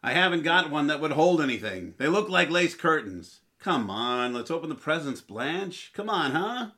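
An actor playing a crabby, penny-pinching, exasperated husband in an old-time radio comedy, grumbling and indignant. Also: male voice, a little high, very animated, moderate pace, bright, forceful tone. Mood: impatient.

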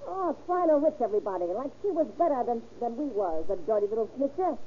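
On a dull old radio recording with some hiss, a brassy woman shoplifter. Her voice is very high and scornful, full of street slang. It is delivered in 1930s crime drama style. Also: sing-song, fast talker. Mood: sneering. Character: brassy, sneering female shoplifter in jail.

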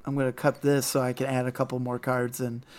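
A man co-hosting a casual card game podcast, his voice raspy and hoarse from overuse, rambling with many fillers. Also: mid-range voice, monotone, a little fast, a little mellow. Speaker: podcast co-host.

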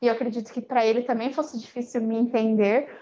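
A Brazilian woman with a Brazilian Portuguese accent talks freely as a podcast guest, stringing her story along with small filler words. Her voice sits a little high. The audio is muffled and lo-fi. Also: fairly even intonation, quick pace, dark tone. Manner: chatty storytelling with frequent fillers. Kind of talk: casual interview chat.

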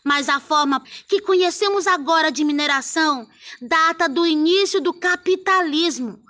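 A woman from Pará in Brazil talks to children on a radio program. Her voice sits very high and sounds bright and forceful. She explains things in a lively, friendly way. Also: normal speed. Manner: lively, speaking warmly to children. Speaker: radio guest presenter.

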